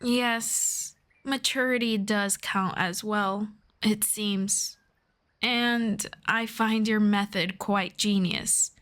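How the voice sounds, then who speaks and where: A woman playing a gentle, earnest elf friend in a soft-spoken, measured ASMR fantasy roleplay. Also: a little high. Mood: earnest, thoughtful. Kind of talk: slow scripted ASMR roleplay.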